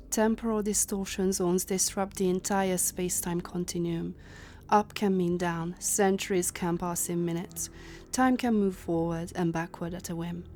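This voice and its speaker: A woman playing a composed, authoritative leader of a secret time-travel organization, speaking formally and with measured command. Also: fairly low pitch, a little mellow, slight background noise. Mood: cautioning, measured. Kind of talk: cinematic audio roleplay acting.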